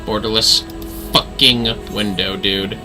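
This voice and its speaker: A man's voice: lowish voice, fairly even intonation, slow pace, over music. A man chatting casually as he hosts a game livestream, informal and apologetic, swearing freely. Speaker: livestream host playing a video game.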